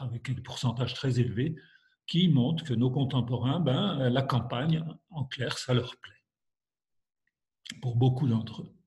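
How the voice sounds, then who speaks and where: A French man giving a measured academic lecture with many hesitations, stuffy from a head cold and coughing at times. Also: medium pitch, a little mellow. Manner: measured, hesitant with frequent fillers. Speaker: university lecturer.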